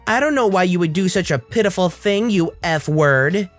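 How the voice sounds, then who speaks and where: A man's voice: sits high, expressive, soft background music, pitched above the performer's own voice. A man putting on a sneering, hostile entitled mother. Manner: sneering, drawn-out insults. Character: hostile bigoted entitled middle-aged mother. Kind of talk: acted quotes in a read-aloud story.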